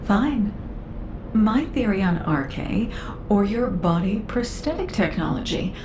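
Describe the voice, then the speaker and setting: An actress playing a shrewd female researcher in a game, speaking in a measured, probing way. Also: mid-range voice, echoey room, background noise. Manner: measured and probing, with lively inflection. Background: game music.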